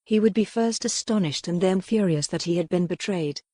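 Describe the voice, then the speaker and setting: A woman's voice: on the low side, normal speed, warm tone, no echo, studio quality. A woman narrating a historical romance audiobook in a scripted storytelling style.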